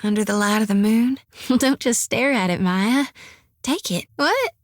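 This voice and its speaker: A woman's voice: fairly high pitch, lively intonation, unhurried, no echo, clean studio sound. An actress voicing an earnest, devout young farm-raised woman priest, folksy and stubborn. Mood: flustered. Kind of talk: video game voice acting. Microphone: dry studio mic.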